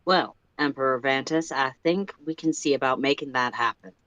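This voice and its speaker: A reader voicing a middle-aged male former president, halting and stately, in a story read aloud. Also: mid-range voice, fairly bright tone, no room sound, lo-fi sound, natural voice. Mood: measured, solemn.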